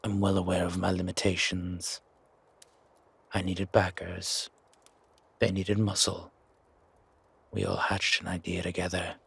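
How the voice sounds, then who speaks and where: A man voicing a gruff, wary werewolf who guards his sanctuary, husky and measured, in a roleplay audio drama. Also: low voice, monotone, fairly bright tone, heavily acted. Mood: calm, measured. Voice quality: husky, gravelly rasp. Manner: slow, measured, dry, guarded. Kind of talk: roleplay audio drama, intimate one-sided dialogue.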